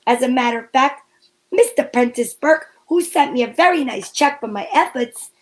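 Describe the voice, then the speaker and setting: Woman playing a high-voiced, wry lady detective. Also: expressive, moderate pace. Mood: playful, fond. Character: breezy female private detective narrating her case. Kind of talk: old-time radio detective drama narration.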